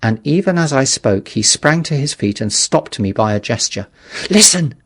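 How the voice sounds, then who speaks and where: A man's voice: medium pitch, animated, moderate pace. A man narrating a literary audiobook in a dramatic, scripted storytelling style.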